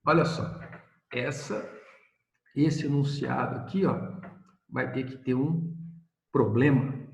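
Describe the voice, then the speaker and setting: A man's voice: mid-range voice, slow pace, vocal fry, echoey room, rough recording. A male math teacher giving a recorded video lesson, explaining step by step and asking questions he answers himself.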